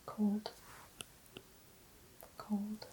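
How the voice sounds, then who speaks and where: Very slow, quiet woman answering briefly. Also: medium pitch, monotone, a little hiss or hum. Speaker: patient in an ASMR exam role-play. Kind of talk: soft-spoken ASMR role-play.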